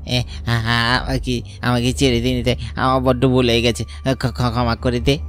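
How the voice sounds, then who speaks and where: A man voicing a brash young village cattle thief in a horror cartoon, blustering in rough slang but cowardly underneath. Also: medium pitch, completely flat delivery, moderate pace, soft background music, natural voice. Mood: terrified pleading.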